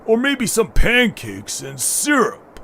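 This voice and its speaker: A man voicing a small, cautious, food-loving male creature, earnest and expressive in an amateur audio drama. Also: high-pitched, slow, gravelly, heavily acted. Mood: longing, hungry. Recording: background noise, ambience.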